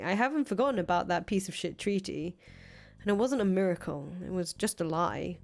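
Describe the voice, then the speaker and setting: A woman's voice: lowish voice, darkish tone, pitched below the performer's own voice, natural voice. Woman acting a brash, grandiose revolutionary leader over music. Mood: defiant, scheming. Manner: foul-mouthed, boastful, emphatic. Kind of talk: visual novel character voice reading. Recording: music playing behind the voice.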